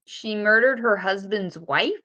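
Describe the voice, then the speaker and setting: A woman's voice: medium pitch, unhurried, pushed and bright, dry recording. A woman co-hosting a podcast, talking in casual, conversational chat. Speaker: podcast co-host.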